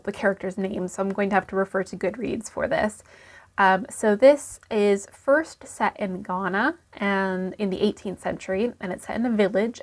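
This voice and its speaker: A woman with a croaky voice from being ill, chatting casually about books she read as a book vlogger, with many ums and restarts. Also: medium pitch. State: croaky voice from illness. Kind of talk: casual book review chat.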